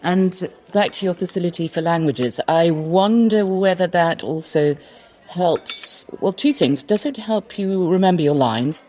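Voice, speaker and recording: An interview host who reads a polished introduction and asks questions in a measured way. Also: mid-range voice, darkish tone, slight background noise. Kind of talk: scripted introduction then interview.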